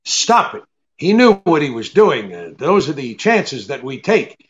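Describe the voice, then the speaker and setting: A man plays a shrewd private eye from a vintage radio mystery. His voice sits a little high and swings widely as he delivers wry, blunt remarks with small hesitations. The audio is slightly dull and lo-fi. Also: very animated, a little slow. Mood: brisk, firm. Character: shrewd, sardonic male private detective, cool and unruffled. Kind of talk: old-time radio detective drama re-creation.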